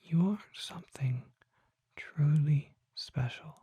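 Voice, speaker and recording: A performer voicing a refined, mysterious vampire shopkeeper in a soft, breathy ASMR whisper, speaking formally with deliberate pauses. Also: mid-range voice, dry recording, lo-fi sound. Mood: reverent excitement. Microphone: close microphone.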